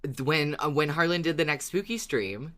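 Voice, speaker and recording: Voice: mid-range voice, expressive, moderate pace, fairly bright tone. A podcast co-host chatting casually and expressively about a favorite show, dramatizing reactions. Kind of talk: casual fan podcast chat.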